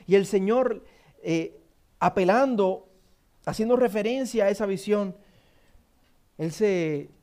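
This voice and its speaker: A man's voice: high voice, a little mellow. A man with a Puerto Rican accent, preaching a sermon, reading scripture emphatically and repeating key phrases. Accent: Puerto Rican Spanish. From Puerto Rico. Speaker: pastor.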